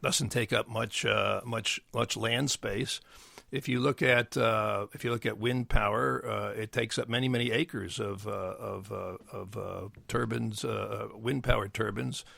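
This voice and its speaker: An older man with a bass voice, explaining as an interview guest in a halting way with many fillers and restarts. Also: fairly even intonation, bright, forceful tone, no room sound. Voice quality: gravelly bass voice. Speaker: guest, nuclear engineer. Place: dry podcast studio. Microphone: studio mic.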